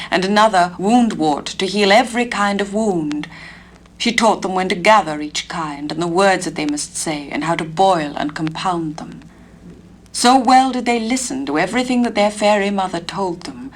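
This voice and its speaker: English actress, storybook narrator, gentle measured reading. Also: female voice, fairly low pitch, slight background noise. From England. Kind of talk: storybook reading on a spoken-word LP.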